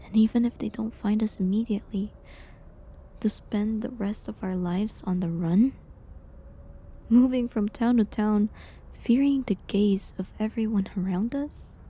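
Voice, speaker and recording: A woman playing a gentle, resigned prisoner accused of witchcraft in an intimate medieval audio drama, with a breathy, half-whispered, hesitant and pleading delivery. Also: mid-range voice. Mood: fearful, pleading. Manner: slow, hesitant, pleading, slightly formal old-fashioned wording.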